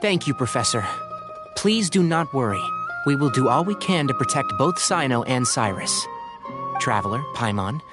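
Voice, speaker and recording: A deep, calm reassuring ally. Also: female voice, normal speed. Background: game music.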